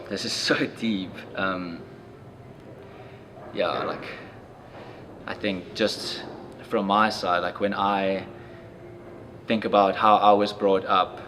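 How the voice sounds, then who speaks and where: A young man reading a life story aloud, then reflecting in a halting way with lots of fillers. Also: lowish voice, fairly bright tone, slight background noise. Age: young adult. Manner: halting, reflective when speaking freely, with many fillers. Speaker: youth reader.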